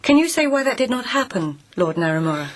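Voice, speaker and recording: An actress playing a shrewd court lady in a radio drama, who questions others with quiet, ironic persistence. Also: on the high side, sing-song, fast. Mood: probing.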